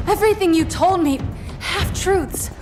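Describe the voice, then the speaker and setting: An actress playing an earnest, principled young superheroine who speaks with defiance in a video game drama. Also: female voice, extremely high pitch, measured pace. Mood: accusing, hurt. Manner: earnest, challenging. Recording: loud music under the voice.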